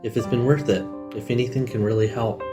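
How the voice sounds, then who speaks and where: A man acts out a legendary wise man in a fantasy audio drama. The sage is gentle and melancholy, speaking solemnly at a middling pitch. Music plays clearly behind the words. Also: fairly even intonation, a little fast, natural voice. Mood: compassionate, knowing.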